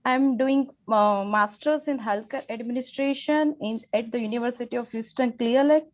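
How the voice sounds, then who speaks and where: A woman's voice: a little high, normal speed, dull audio. A woman in her twenties from India, speaking, giving an earnest, formal self-introduction as a student candidate on a video call. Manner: earnest, formal, plain phrasing. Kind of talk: prepared self-introduction speech.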